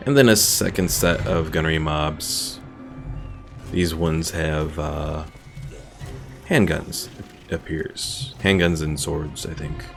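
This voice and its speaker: Guy streaming a strategy game, deep croaky voice, thinking out loud, game music behind him. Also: fairly even intonation, slow. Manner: thinking aloud. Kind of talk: casual gameplay commentary.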